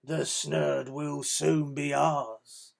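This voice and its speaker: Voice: medium pitch, fairly even intonation, a little slow, vocal fry, a little mellow, breathy edge, exaggerated voice. A reader voicing an eager, pushy bad man in a children's picture-book story. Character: bad man digging for a giant bird.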